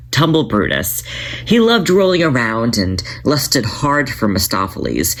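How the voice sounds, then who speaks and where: A man narrating his own fan fiction as an audiobook, in a steady, measured reading. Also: medium pitch. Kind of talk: podfic story narration.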